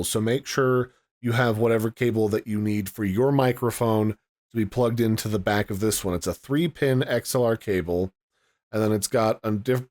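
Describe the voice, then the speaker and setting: A man's voice: on the low side, a little mellow. A man giving a casual, chatty product review and walkthrough, explaining things in a folksy way. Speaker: tech reviewer.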